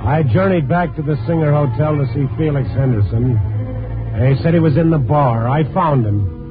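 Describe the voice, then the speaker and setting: A man's voice: on the high side, moderate pace, music in the background, natural voice. A man playing a world-weary, probing newspaper reporter in a 1950s radio noir drama, on a tinny old recording. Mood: brooding. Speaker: narrator and lead actor. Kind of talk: 1950s radio noir acting, first-person narration.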